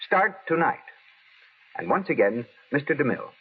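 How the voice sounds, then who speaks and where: Old time radio ad guy, very lively, husky edge, tinny sound. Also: mid-range voice, normal speed. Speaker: commercial announcer. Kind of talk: scripted 1930s-40s radio advertising pitch.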